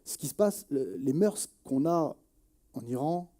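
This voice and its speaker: A man of about forty speaking in an earnest interview testimony, halting as he searches for words and repeats phrases. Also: a little high, lively intonation, dark tone. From Nièvre, France. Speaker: journalist and activist interviewee.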